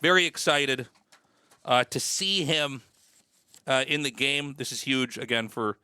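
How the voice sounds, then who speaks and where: Bright-voiced man, casual streamer commentary. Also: medium pitch, unhurried, clean tone, dry recording. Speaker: gaming streamer, host.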